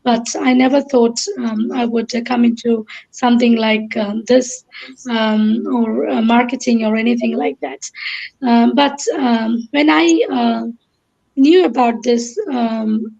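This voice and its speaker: A woman's voice: fairly high pitch, steady tone, slow pace, creaky, warm tone. A woman from Kerala with a Malayali Indian accent, a native Malayalam speaker, giving a hesitant personal account in short phrases as an interview guest on a lo-fi online call. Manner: hesitant, piecing thoughts together in short phrases.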